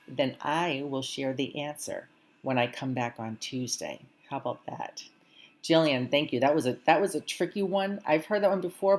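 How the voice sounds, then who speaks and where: Woman doing a school morning greeting, low voice, slow careful teacher talk, upbeat with the kids. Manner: warm encouraging teacherly tone, slow careful reading. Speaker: school morning announcements host.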